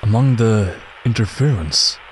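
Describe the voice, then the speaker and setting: A man acting a calm male audio analyst in an audio drama. His voice sits fairly low but moves with lively intonation. He thinks aloud in a measured, slightly hesitant way. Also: a little hiss or hum, low-quality recording. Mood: thoughtful, measured. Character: calm male audio expert examining a mysterious recording. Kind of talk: naturalistic audio drama dialogue.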